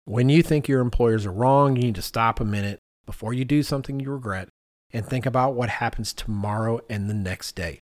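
A man's voice: mid-range voice, fairly quick, no room sound, studio quality. A middle-aged man co-hosting a podcast, telling stories in a casual, conversational way and acting out what people said. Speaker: podcast co-host.

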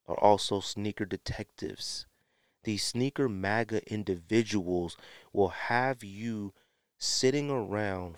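American male podcast host, middle-aged, lowish register, dry close sound, slangy and conversational. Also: smooth, no room sound. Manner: conversational, slangy, emphatic repetition. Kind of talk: casual solo podcast talk.

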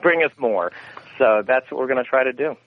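A man, giving a casual interview in a halting, self-effacing way over a remote call. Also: medium pitch, slight background noise. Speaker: interview guest, radio theatre producer. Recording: very narrow, tinny phone-like line.

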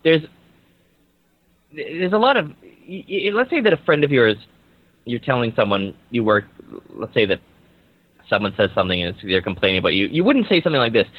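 Nasally guy talking social media on a podcast, animated, kinda muffled audio. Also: mid-range voice, brisk, long pauses, dry recording. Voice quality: whiny, nasal. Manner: animated, explains with examples. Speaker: podcast guest, social media agency owner. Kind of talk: casual podcast chat. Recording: slightly dull lo-fi audio.

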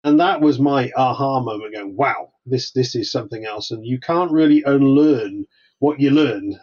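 A man's voice: medium pitch, moderate pace. A man with a Welsh accent, a guest on a podcast, talking casually with frequent restarts. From Wales. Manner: hesitant, many restarts. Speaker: guest consultant. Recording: slightly dull lo-fi sound.

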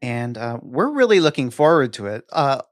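A man's voice: mid-range voice, sing-song, normal speed, no echo, studio quality. A middle-aged man speaking as a podcast host in a casual, conversational style.

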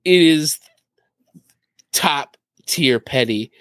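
Male co-host, somewhat high voice, close dry sound, wry casual banter. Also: animated, extremely slow, a bit bright, dry recording. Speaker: podcast co-host. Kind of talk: casual chat.

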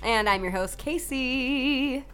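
Bright, playful young woman co-host. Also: sits high, slow pace, smooth, soft background music. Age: 20s. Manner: playful, teasing, chatty. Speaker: podcast co-host. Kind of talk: casual chat.

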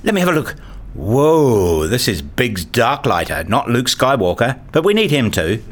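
A man narrating a first-person radio story in a measured, reflective way, also voicing the father's lines. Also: medium pitch, sing-song. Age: middle-aged. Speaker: narrator and lead actor playing a father.

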